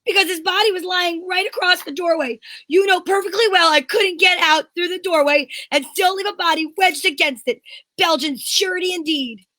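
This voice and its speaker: A woman's voice: very high-pitched, moderate pace, pushed and bright. A woman playing a defensive, indignant female murder suspect, flustered and exclamatory, in old-time radio mystery style.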